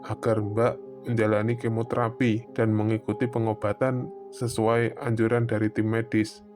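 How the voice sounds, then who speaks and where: A man playing a calm, formal doctor who explains gently and reassuringly in an animated drama. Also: fairly low pitch, normal speed, close to an everyday voice. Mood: calm, sympathetic. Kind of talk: animated horror drama dialogue. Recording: voice over prominent background music.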